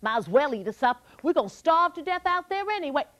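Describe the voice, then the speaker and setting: A woman's voice: high voice, very animated, fairly quick, a bit bright, voice pushed up. A woman voicing a clever, plucky young girl with folksy speech. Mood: pleading. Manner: folksy dialect, pleading politely.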